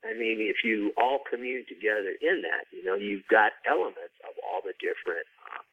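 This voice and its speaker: Man, low voice, narrow phone-like sound, casual interviewed author, informal explanatory talk, frequent asides. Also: lively intonation, normal speed, vocal fry, husky, no echo.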